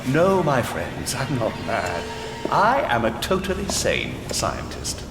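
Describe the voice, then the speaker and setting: Crazed surgeon villain, pompous, very swoopy intonation, audio drama, music behind. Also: male voice, mid-range voice, wildly swooping pitch, slow pace, full character voice. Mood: manic pride. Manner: theatrical, pompous, mock-courteous. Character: grandiose mad surgeon villain, gleefully proud of his work. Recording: echoey hall reverb with loud music.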